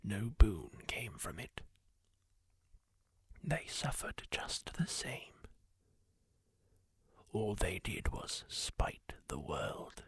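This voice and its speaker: A man voicing a kindly masked healer in a fantasy roleplay, telling a grim story in a soft, husky whisper. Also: lowish voice, big pitch swings, dry recording, full character voice. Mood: hushed solemn. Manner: slow, hushed, solemn storytelling to the listener. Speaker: ASMR roleplay performer.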